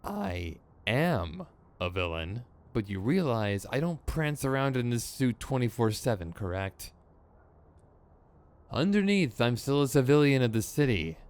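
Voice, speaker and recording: A man's voice: mid-range voice, sing-song, unhurried, a little mellow. A man playing a theatrical, sardonic supervillain in a scripted ASMR roleplay, exasperated and smug, with mock menace and a grumbling, rambling streak.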